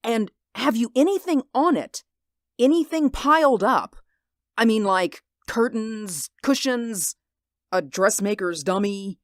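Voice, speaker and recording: An actor in a 1940s radio drama playing a haunted, sleepless man who pleads in an intense, hushed voice. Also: sits high, lively intonation, slow, no room sound, studio quality. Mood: pleading.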